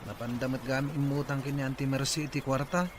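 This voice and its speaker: A man's voice: mid-range voice, fairly even intonation, fast talker, natural voice. A man playing a puzzled, dutiful young farm son in a radio drama. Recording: clearly noisy background.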